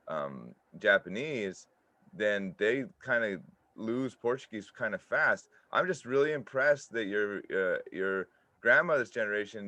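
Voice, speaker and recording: Podcast host, male, low and dry, loose rambling delivery. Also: bright, forceful tone, no room sound. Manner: rambling, many fillers and restarts. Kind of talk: casual interview chat. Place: home, in Japan.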